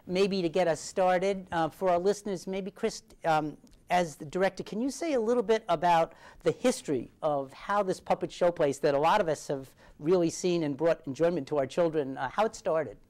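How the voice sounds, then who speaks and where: A man's voice: high voice, normal speed, darkish tone. An American man hosting an informal local TV interview in a studio, speaking as an encouraging, responsive listener. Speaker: talk show host.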